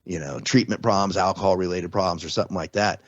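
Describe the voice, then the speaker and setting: A man's voice: deep voice, steady tone, quick pace, no room sound. Man explaining casually, slightly dull close sound. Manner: rhetorical check-ins, repeats and restarts phrases. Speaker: podcast guest, trauma survivor and former security contractor.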